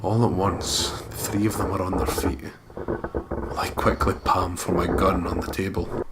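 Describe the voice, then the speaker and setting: A man's voice: low-pitched, little pitch movement, normal speed, heavy background noise. A man reading a first-person story aloud as dramatic narration for a game playthrough, with the odd stumble. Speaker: let's play host reading a visual novel aloud. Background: loud game music.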